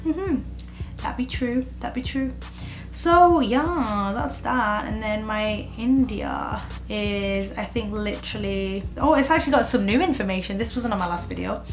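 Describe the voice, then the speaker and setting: A woman's voice: medium pitch, a little slow, faint music behind. Chatty young woman vlogging. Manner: chatty and expressive, with filler likes. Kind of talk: casual vlog chat.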